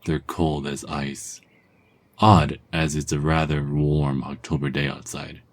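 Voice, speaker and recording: A man narrating a second-person ASMR story in a calm, soft-spoken, husky voice. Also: very deep voice, takes it slowly.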